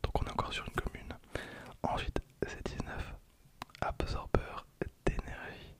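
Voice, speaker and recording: A young man speaks entirely in a breathy, voiceless whisper, as an ASMR host would. He is close to the microphone, softly commenting in an unhurried way and often repeating his phrases. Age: 20s. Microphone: close handheld-style stereo recorder.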